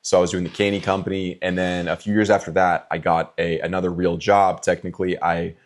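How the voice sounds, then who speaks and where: A man in his twenties from California, answering fan questions in a relaxed, chatty way with frequent ums and likes. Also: sits low, brisk. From California, USA. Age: 20s. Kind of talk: casual Q&A vlog.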